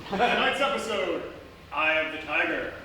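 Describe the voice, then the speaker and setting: Male announcer for live radio parody: showy, swooping delivery, echoey theatre, clear background noise. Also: sits high, animated, normal speed, sharp tone. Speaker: announcer and host. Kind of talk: mock old-time radio announcing. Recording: echoey theatre, distant mic, noisy.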